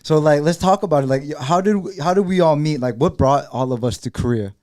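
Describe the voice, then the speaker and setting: A man's voice: fairly high pitch, fast talker, darkish tone, dry recording, studio quality. A young man with a Los Angeles accent hosting a casual chat, slangy, swearing freely and laughing often. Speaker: podcast host.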